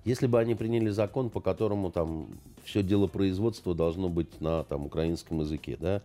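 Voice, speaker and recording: A middle-aged man with a low-pitched, warm voice. He speaks bluntly in a casual political chat and cuts in with short remarks. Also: fairly quick, soft background music. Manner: blunt, curt interjections.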